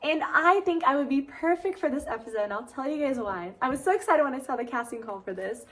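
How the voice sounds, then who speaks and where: A woman's voice: high-pitched, quick pace, echoey room. Chatty young woman from Brazil. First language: Portuguese. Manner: chatty, animated storytelling with lots of "like". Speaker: vlogger. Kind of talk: casual chat to camera.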